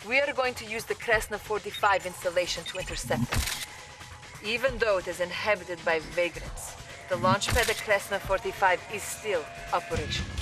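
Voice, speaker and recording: Female actor for brisk young Soviet information officer, formal and urgent, over clear music. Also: fairly low pitch, close to an everyday voice. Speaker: briefing officer. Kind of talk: mission briefing.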